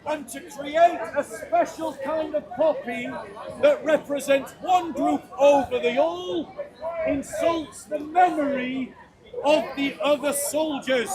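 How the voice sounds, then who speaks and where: A man's voice: very high-pitched, poor audio. A man giving a public soapbox speech outdoors, declaiming with a raised voice. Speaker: street speaker. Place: outdoor park. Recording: crowd noise outdoors.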